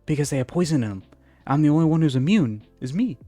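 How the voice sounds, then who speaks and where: Man doing a young boy pony, higher-pitched, cheeky, excitable, childlike scheming, storybook tale, music behind. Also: fast talker, no echo. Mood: sly.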